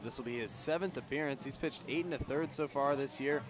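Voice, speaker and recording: A man's voice: mid-range voice, fairly quick. A man working as a color commentator on live baseball radio, running through analysis and statistics. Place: press box at an outdoor ballpark.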